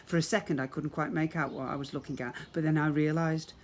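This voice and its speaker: A man's voice: fairly high pitch, fairly even intonation, fast. A man narrating a children's story expressively, as a teacher reading to young pupils. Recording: faint background noise.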